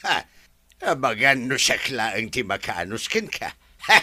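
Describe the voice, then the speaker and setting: A man's voice: mid-range voice, sing-song, measured pace, pushed and bright, no room sound, full character voice. An actor playing a joking, flirty middle-aged driver in a radio drama, laughing often as he teases. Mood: flustered joking.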